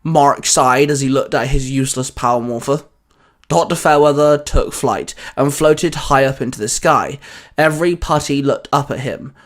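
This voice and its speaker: A man's voice: mid-range voice, normal speed. A man reading scripted fan fiction as a story narrator.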